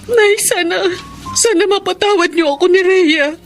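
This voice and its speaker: A woman's voice: very high-pitched, normal speed, faint music behind. A woman playing a betrayed, anguished mother in a radio drama, with an emotional, tearful delivery. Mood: remorseful, pleading. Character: betrayed, anguished mother leaving her deceitful lover. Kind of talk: Tagalog radio drama acting.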